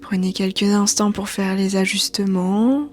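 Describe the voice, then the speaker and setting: A woman yoga teacher guiding a meditation in a soothing, hushed, breathy voice. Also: medium pitch, steady tone, a little slow, slight background noise. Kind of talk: guided meditation narration. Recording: soft background music. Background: quiet music.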